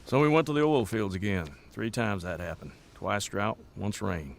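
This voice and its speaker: Weary montana rancher, gravelly n low, plain folksy talk, radio drama style. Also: male voice, moderate pace, slight background noise. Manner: folksy, stoic, matter-of-fact storyteller. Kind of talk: naturalistic audio drama dialogue.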